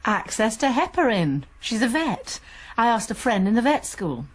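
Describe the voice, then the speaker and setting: An actress playing a shrewd, composed woman amateur sleuth in a radio drama, explaining things in a measured, quick-witted way. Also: fairly high pitch, very animated, breathy edge.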